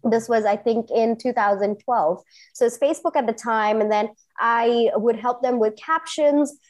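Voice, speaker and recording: A woman's voice: a little high, moderate pace. A woman in her thirties from Singapore, telling her career story as an interview guest in an earnest, enthusiastic way. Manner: earnest, enthusiastic, emphatic repetitions. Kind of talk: personal storytelling in conversation.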